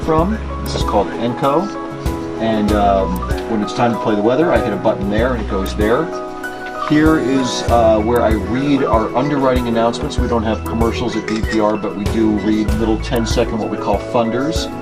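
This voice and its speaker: Male announcer, slightly low pitch, informal explanatory tour talk, prominent music in the background. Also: moderate pace. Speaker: radio announcer showing his studio.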